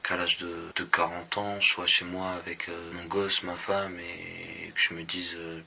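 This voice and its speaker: A young man in his twenties speaks in a very low voice that barely rises or falls. He answers interview questions in a relaxed, halting way, full of slang and restarts. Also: vocal fry, slight background noise. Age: 20s. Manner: laid-back, halting, slangy, many restarts. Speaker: young rapper interviewed on a podcast.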